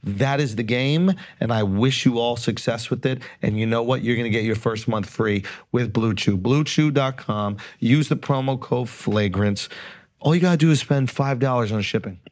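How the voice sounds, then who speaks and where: A man's voice: mid-range voice, moderate pace, a little mellow. A man in his forties, a podcast host, reading ads and joking in brash, playful slang from a studio set. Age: 40s. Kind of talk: casual banter and read ads.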